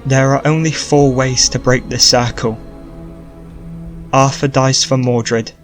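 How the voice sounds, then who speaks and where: Male reader voicing a solemn sorceress explaining a curse, slow and measured, soft music under. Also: mid-range voice, steady tone, lightly acted. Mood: grave.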